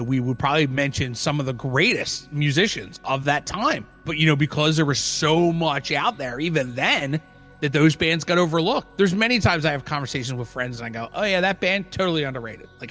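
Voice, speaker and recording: A man's voice: on the high side, fairly quick, fairly bright tone, soft background music. A man co-hosting a podcast in casual, slangy chat, repeating phrases for emphasis. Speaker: podcast co-host.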